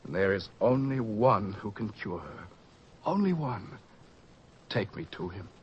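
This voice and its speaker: A man playing a desperate father in a radio drama, pleading in a trembling, halting way. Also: fairly low pitch, big pitch swings, highs cut off. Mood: anxious pleading. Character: desperate pleading father of a sick little girl. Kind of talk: old-fashioned radio drama acting. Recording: noisy radio drama recording.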